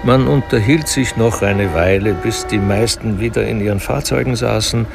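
A man's voice: fairly low pitch, moderate pace, gravelly, noisy background. A man giving scripted narration in a German radio crime drama.

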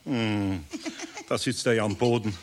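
An actor playing an older, grumbly but caring master craftsman with a Bavarian accent and a husky voice in a children's radio play. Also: lowish voice, fairly even intonation, unhurried, natural voice. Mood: weary, resigned. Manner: grumbling, homely.

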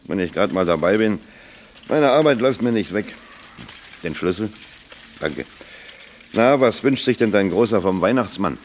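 Radio play: middle-aged workman male actor, lowish voice, gruffly helpful, plain talk, some ambient noise. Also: animated, normal speed, pauses often, lightly acted. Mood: gruff kindness. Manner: plain-spoken, helpful, understated. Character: Otto, kindly, gruff middle-aged factory worker. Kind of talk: German radio play acting.

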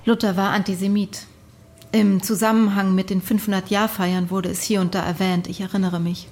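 A woman's voice: mid-range voice, flat delivery, normal speed, a little mellow, a little hiss or hum, close to an everyday voice. An actress playing a sceptical, dryly ironic interviewer in a radio drama, probing as she talks. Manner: dry, probing.

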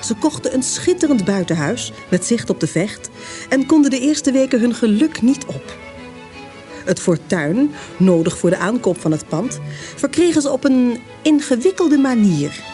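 A woman narrating a radio play in a measured storytelling manner. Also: on the high side, big pitch swings, mellow, soft background music.

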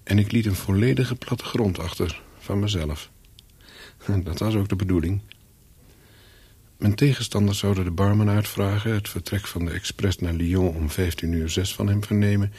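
A calculating, hunted spy tells his own story in a radio play. His voice is low and heavily husky, and he speaks in short, brooding sentences. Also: moderate pace, darkish tone, no room sound. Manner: terse, brooding, clipped sentences. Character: wary, calculating male spy on the run, narrating. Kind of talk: first-person radio play narration with dialogue.

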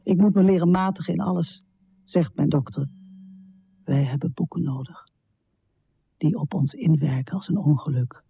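An actress playing a troubled, confessional middle-aged woman poet in a radio drama, speaking intimately with a low, husky voice. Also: moderate pace, pauses often, narrow, tinny audio, exaggerated voice. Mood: solemn, hushed. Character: troubled, confessional American woman poet, heavy drinker, death-obsessed. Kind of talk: radio drama monologue and poetry recital.

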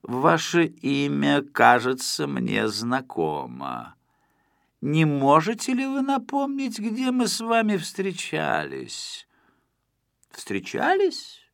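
Pompous audiobook tycoon, high-pitched, hot-tempered, spluttering, indignant, wildly swinging delivery. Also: male voice, huge pitch swings, slow pace, creaky, no echo, studio quality, full character voice. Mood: haughty, suspicious. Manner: ranting, spluttering, indignant shouting. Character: pompous, hot-tempered male rich boss, raging and indignant. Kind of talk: audiobook character acting.

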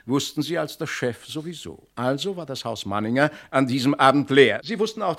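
An actor playing a composed, methodical police inspector, clipped and authoritative, in a 1960s German radio crime drama. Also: male voice, mid-range voice, a bit bright. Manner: matter-of-fact, clipped, authoritative.

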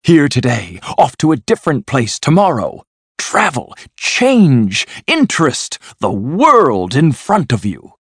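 A man voicing a boastful, excitable, rich toad from a storybook, gushing and exclaiming as he talks on. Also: lowish voice, slow pace, slightly rough, dry recording, exaggerated voice. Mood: rapturous. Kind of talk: storybook character voice.

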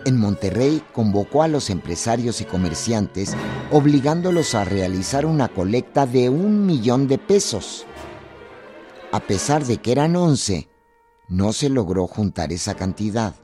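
A man's voice: medium pitch, big pitch swings, unhurried, mellow. A man narrating history from a script for a radio drama. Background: string music.

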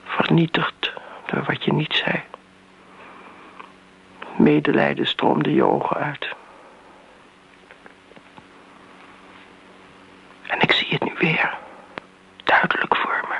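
A woman's voice: low voice, sing-song, unhurried, vocal fry, long pauses, a bit bright, in a whisper, full character voice. An actress playing a bitter, middle-aged daughter who defends her mother in a radio play, pointed and reproachful in manner. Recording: muffled old radio recording, background hiss.

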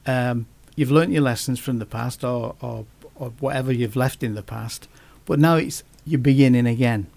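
A man speaking as a guest in a casual podcast conversation, halting often and restarting his sentences. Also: medium pitch, gravelly, darkish tone. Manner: halting, many restarts and fillers.

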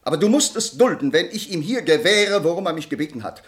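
A man's voice: on the high side, expressive, fast talker, fairly bright tone. Earnest nobleman with sweeping delivery. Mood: pleading, urgent. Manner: formal, eloquent, earnest. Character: honor-bound young nobleman, grateful, earnest, passionately restrained. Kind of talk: German radio play acting of classic drama.

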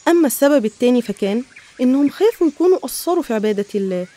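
A woman's voice: high voice, animated, very fast, dark tone. A woman with an Egyptian accent narrating scripted religious stories in an explanatory, didactic manner.

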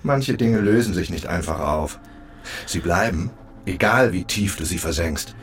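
Low-voiced, eerie accusing man. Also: lively intonation, a little slow, a little hiss or hum. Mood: brooding. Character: eerie, accusing forgotten man haunting his double. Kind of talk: German audio drama acting.

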